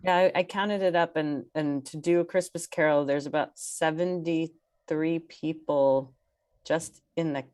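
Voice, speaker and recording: A woman with a low voice speaks casually as a theater director on a panel. She is halting, circling back over words as she forms her thought. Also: fairly even intonation, a little mellow.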